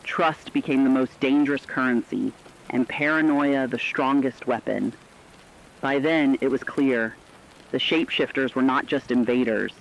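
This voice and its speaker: A man delivering scripted apocalyptic horror narration in a grave, measured storytelling manner. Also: mid-range voice, gravelly, highs cut off, slight background noise.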